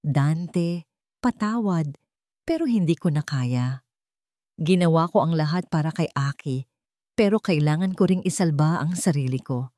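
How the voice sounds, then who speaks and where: A woman voicing a heartbroken, gentle and apologetic female caregiver in a narrated drama story. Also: deep voice, expressive, unhurried, pauses often, dry recording, studio quality. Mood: sorrowful, resigned.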